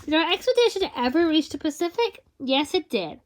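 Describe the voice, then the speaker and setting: High-voiced woman reading a children's story. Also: sing-song, a little fast. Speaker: read-aloud storyteller. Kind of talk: expressive children's picture book read-aloud.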